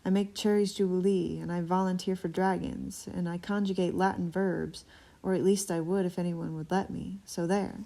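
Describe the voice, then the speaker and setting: A woman's voice: fairly low pitch, fairly even intonation, moderate pace, gravelly, dark tone. A woman reading aloud, voicing a headstrong, snippy young princess from a storybook who speaks eagerly and insists on her way. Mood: insistent.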